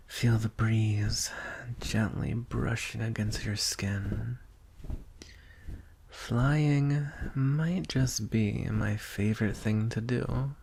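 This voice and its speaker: A male dragon, voiced by a man for fantasy ASMR roleplay, smug and coaxing with a soft threat underneath. The voice is gravelly and fairly low, drawn out very slowly. There is some noise behind it. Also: heavily acted. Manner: slow, coaxing, softly threatening. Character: teasing, coaxing, smugly menacing male dragon.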